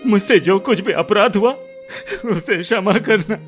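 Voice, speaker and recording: A man playing a humble, wounded younger brother in a Hindi radio drama, speaking in a halting, sorrowful way. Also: high-pitched. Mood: remorseful, wounded. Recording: music behind the voice.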